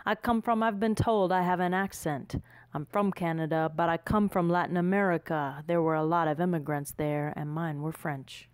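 A woman's voice: lowish voice, steady tone, normal speed, smooth, no room sound. A woman speaking in short, brief declarative lines. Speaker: workshop participant. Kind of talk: short spoken statements.

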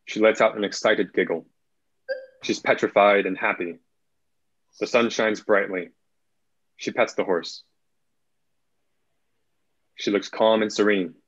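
A young man reading screenplay stage directions in a plain, measured way as narrator. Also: lowish voice. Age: young adult. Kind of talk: scripted narration, table read. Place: small room at home, on video call. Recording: dull video call audio.

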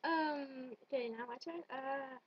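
A young woman with a high voice, chatting casually and teasing her friend. She is playful and swears easily, heard through dull, lo-fi webcam audio. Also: a little slow, no echo. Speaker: friend joining in character voices. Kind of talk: casual joking chat. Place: small bedroom at home, indoors. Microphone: computer webcam mic at arm's length.

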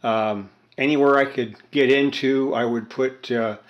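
A man's voice: medium pitch, steady tone, unhurried, dull audio. An older man chatting casually and hesitantly at a cluttered home electronics workbench, with lots of fillers as he explains his radio repair. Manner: rambling, hesitant, many fillers. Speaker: radio repair hobbyist vlogger. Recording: roomy home workshop echo.

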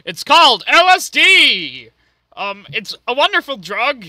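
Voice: high-pitched, sing-song, measured pace, sharp tone, dry recording, exaggerated voice. A performer voicing a manic, foul-mouthed, bossy cartoon ringmaster in an amateur fan dub, theatrical with hesitant asides. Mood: showy explaining.

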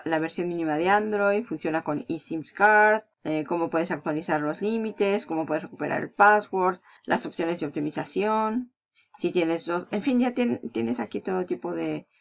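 A woman's voice: lowish voice, normal speed, creaky. A woman with a Peninsular Spanish accent hosting a casual screen-recording walkthrough, chatty and upbeat, laughing between points. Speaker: affiliate marketing channel host. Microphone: voice-over on a screen recording. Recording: slightly dull lo-fi voice-over.

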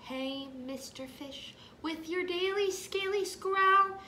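Gentle, chiding jellyfish played by a woman: high, unhurried storybook singsong. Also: exaggerated voice. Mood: gently chiding. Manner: melodic singsong. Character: gentle floating jellyfish chiding a grumpy friend. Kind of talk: sung children's storybook. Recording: roomy home recording with faint noise.

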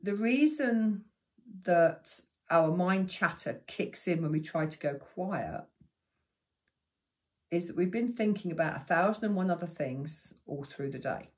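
A woman in her sixties with a croaky voice, giving practical meditation tips to the camera in a chatty, unhurried way from her living room. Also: fairly low pitch, lively intonation. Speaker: meditation teacher. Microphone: camera in front of her.

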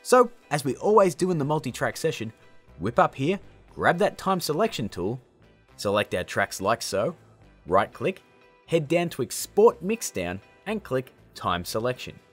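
Male how-to host, casual and upbeat, highly varied intonation, a touch of rasp. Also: very animated, moderate pace, a bit bright, faint music behind. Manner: upbeat, chummy, addressing viewers as guys and gang. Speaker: tutorial host. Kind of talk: casual how-to tutorial narration.

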